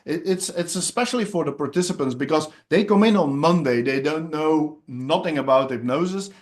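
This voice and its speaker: A middle-aged man with a Belgian Dutch accent, a native Dutch speaker, chatting in an interview about his hypnotherapy work, pausing often with fillers and restarts. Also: a little high. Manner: hesitant, many fillers and restarts. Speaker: hypnotherapist and trainer. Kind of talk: casual interview chat.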